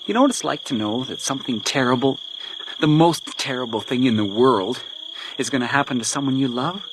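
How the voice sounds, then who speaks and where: A man's voice: mid-range voice, animated, close to an everyday voice. An actor playing a menacing, vengeful man with a taunting, theatrical delivery in a detective radio drama. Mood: bitter, menacing. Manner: taunting, theatrical, deliberate when reading letters aloud. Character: menacing vengeful man, grieving brother, cruelly mocking and taunting. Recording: noisy night outdoor ambience with insects.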